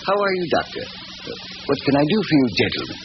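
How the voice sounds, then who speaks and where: Affable, casual householder male actor, swooping slightly high voice, 1940s radio drama, noisy dull recording. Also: lively intonation, fast talker, close to an everyday voice. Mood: affable, offhand.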